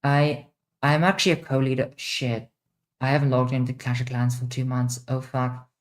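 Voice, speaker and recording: A young woman with a mixed international accent, answering chat questions on a casual livestream, blunt and quick to swear. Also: extremely low pitch, flat delivery. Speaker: streamer.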